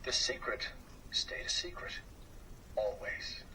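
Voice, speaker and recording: A man reading a children's book aloud as a storyteller, expressive and dropping to a hush at times, heard on a distant mic with faint background noise. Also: takes it slowly.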